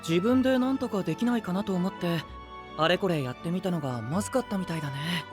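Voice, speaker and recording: Gentle, princely young knight leader. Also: male voice, high-pitched, expressive, fast. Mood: gentle, composed. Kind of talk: Japanese anime-style voice drama. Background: background music.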